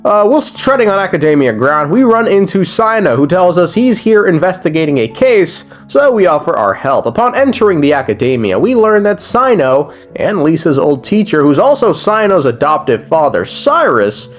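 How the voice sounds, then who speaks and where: Young man, animated delivery, slightly high pitch, slangy, profane, joking, casual gaming recap, music behind. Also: moderate pace, hardly any pauses. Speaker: gaming video commentator. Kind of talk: casual recap commentary with reactions.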